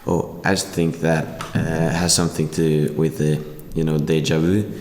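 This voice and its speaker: A seventeen-year-old from Norway, speaking English as a guest, hesitant and given to laughing. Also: male voice, a bass voice, level tone, moderate pace, a little mellow. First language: Norwegian. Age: teens. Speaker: podcast guest. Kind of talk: casual discussion. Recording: echoey hall, faint noise.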